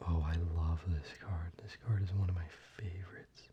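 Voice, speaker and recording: A man whispering softly and unhurriedly, with fillers, as he shows cards to the viewer for ASMR. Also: low-pitched, fairly even intonation, moderate pace, muffled recording, noisy background. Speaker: ASMR creator. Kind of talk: soft-spoken ASMR card deck showcase.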